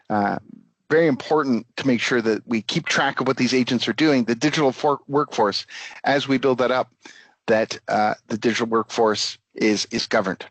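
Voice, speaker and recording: A man presenting business technology as a company executive, explaining step by step in a halting way with pauses mid-phrase. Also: mid-range voice, no room sound, rough recording. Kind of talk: business presentation with product demo pitch.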